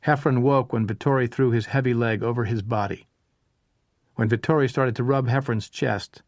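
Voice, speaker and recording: A man narrating a history audiobook in a measured, even way. His voice sits at a middle pitch for a man. The recording is dry and close, with no room sound. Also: no room sound. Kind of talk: scripted narration of a history book.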